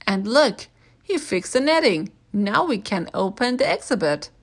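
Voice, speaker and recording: A woman's voice: mid-range voice, sing-song, measured pace, creaky, full character voice. Storybook zookeeper, female, kindly, helpful and encouraging voiced by a woman reader. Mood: excited.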